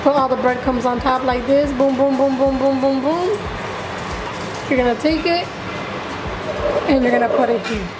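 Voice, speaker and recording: A woman's voice: high voice, steady tone, normal speed, long pauses. A woman in her twenties chatting casually and playfully through a home cooking vlog in her kitchen. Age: 20s. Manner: playful, bubbly, with sound words. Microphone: camera nearby, at arm's length or on counter. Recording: echoey kitchen, music behind.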